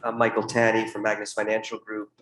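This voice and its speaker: A man's voice: lowish voice, monotone, fast, fairly bright tone. A young man speaking on a panel in a candid, casual way, often repeating words. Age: young adult. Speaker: family office investor, panelist. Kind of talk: conference panel remarks. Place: conference room with live audience. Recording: echoey room, faint hum.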